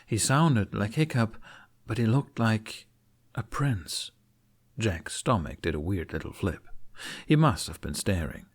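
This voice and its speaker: A man reading a story aloud as narrator in an expressive audiobook style. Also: on the low side.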